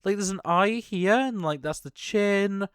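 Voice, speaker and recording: A man's voice: high-pitched, unhurried, fairly bright tone, clean tone, dry recording, clean studio sound. A young man giving casual, off-the-cuff reaction commentary on a video game trailer, rambling and thinking aloud as he goes.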